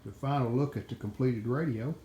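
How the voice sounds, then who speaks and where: A man giving casual, plainspoken hands-on narration as the host of a repair video, unscripted and pausing mid-sentence. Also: medium pitch, mellow, rough recording. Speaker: host of a radio restoration video channel. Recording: clear background noise, room ambience.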